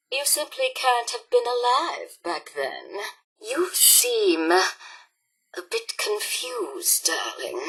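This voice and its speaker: Robot supervisor diva, female, theatrical, haughty, affected, bright yet husky, game character. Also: medium pitch, heavily acted, poor audio. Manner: grand, affected diva drawl, calls everyone darling. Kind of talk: theatrical video game voice acting.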